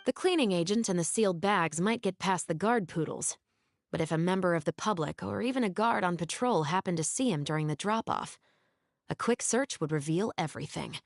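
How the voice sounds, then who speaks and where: Woman playing a keen young lady cop in a game, lowish voice, confident n analytical, dry close sound. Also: a little fast, no echo, natural voice. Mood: firm, reasoning. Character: brisk, keen young female Special Patrol officer investigating a case. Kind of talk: scripted video game voice acting.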